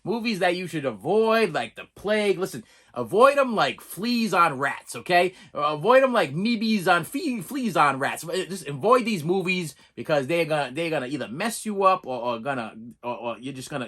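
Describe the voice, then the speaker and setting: Boston guy rambling about movies, kinda high bright voice, bounces all over, lots of restarts. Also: very animated, normal speed, hardly any pauses. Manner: chatty, repeats and restarts words, laughs often. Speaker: movie review vlogger. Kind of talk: rambling casual monologue to camera.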